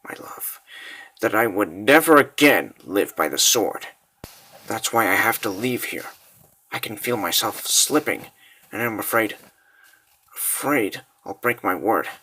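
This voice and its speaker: A man performs a brooding warrior haunted by lost love in a dramatic comic dub. His delivery is solemn and halting, at a slow pace with a forceful edge. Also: on the low side, heavily acted, poor audio. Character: Garrison, brooding grief-stricken swordsman haunted by lost love.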